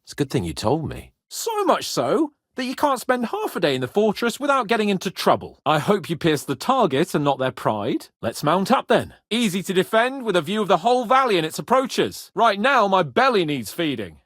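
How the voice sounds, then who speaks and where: A man's voice: high voice, huge pitch swings, moderate pace, hardly any pauses, fairly bright tone, no room sound, clean studio sound, heavily acted. A man voicing a haughty, hot-tempered young nobleman with an imperious, theatrical manner in a medieval role-playing video game. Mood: brisk, lordly.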